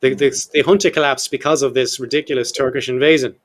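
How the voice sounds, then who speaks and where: A quick-talking man around fifty, hosting a laid-back history podcast. His tone is irreverent and jokey, with offhand swearing, and he drops his own wry asides into the middle of long quotes. His voice sits at an ordinary middle pitch. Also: fairly even intonation. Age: 50s. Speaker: podcast host. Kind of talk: casual history podcast chat.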